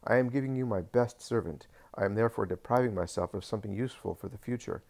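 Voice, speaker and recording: A man voicing a greedy, proud rich landowner with a squeaky, fox-like voice, pleading and boasting. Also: fast talker, a little mellow. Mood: wheedling.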